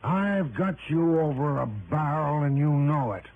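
An actor playing a loud, bullying American con man in 1960s radio drama, blustering and threatening in slang. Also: fairly high pitch, measured pace, gravelly, a crowd in the background. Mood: bullying, indignant. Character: loud bullying con man posing as aggrieved hotel guest. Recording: tinny, noisy old radio broadcast.

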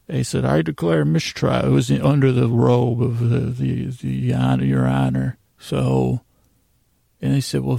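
A man hosting a podcast in a rambling, lighthearted bedtime monologue, halting and drifting into absurd tangents, with a gravelly, creaky voice. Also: medium pitch, dark tone, no room sound, clean studio sound. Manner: meandering, halting, with trailing tangents. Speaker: podcast host. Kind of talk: rambling bedtime monologue, meant to lull listeners to sleep.

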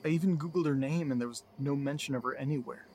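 An actor playing a worried, baffled young man in an audio drama, speaking haltingly as if thinking aloud. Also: mid-range voice, darkish tone, a little hiss or hum, lightly acted. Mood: troubled, baffled. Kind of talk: audio drama monologue, recorded-diary narration.

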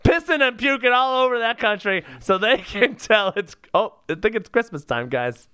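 A man co-hosting a comedy podcast with casual banter and an excitable, jokey way of talking. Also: sits high, moderate pace, clean tone, no room sound, clean studio sound.

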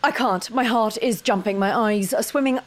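A woman's voice: on the high side, normal speed, lightly acted. An actress playing an anxious, defensive woman with a blunt, sarcastic, frantic manner in an audio drama. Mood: panicky. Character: anxious, defensive, sweary woman dreading a party.

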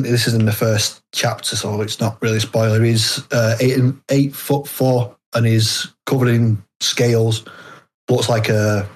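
A British man, an author being interviewed, explaining things casually in a halting, rambling way. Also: mid-range voice. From United Kingdom. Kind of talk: casual chat.

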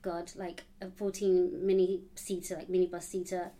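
A woman's voice: on the low side, little pitch movement, normal speed, dark tone. A young woman from Uganda, chatting casually with many fillers. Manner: chatty, lots of likes and ums. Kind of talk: casual chat.

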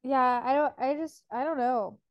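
A woman's voice: high voice, moderate pace, dry recording, muffled recording. A woman in her twenties co-hosting a podcast, telling a long story in a rambling, casual way, full of "like" and swearing. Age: 20s. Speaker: podcast co-host. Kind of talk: casual chat.

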